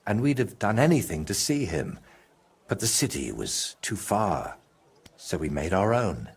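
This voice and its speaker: Husky, slow-spoken troubled painter. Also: male voice, sits low, very animated, full character voice. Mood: wistful. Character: troubled middle-aged male medieval painter, evasive and guilt-ridden. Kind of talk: video game dramatic dialogue.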